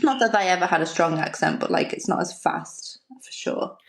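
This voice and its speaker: A woman in her thirties from Liverpool with a softened Liverpool accent, a podcast guest chatting in a rambling way and laughing often. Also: lowish voice, expressive, normal speed, gravelly. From Liverpool, England. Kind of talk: casual chat.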